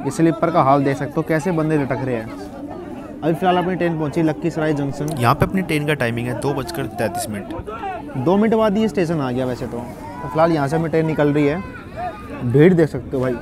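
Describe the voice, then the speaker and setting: Fast-talking man vlogging in a crowd. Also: fairly high pitch. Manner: chatty, addresses viewers as brothers. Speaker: travel vlogger. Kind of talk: casual travel vlog narration. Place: crowded train and station platforms. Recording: noisy crowded train recording. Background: loud crowd and train noise.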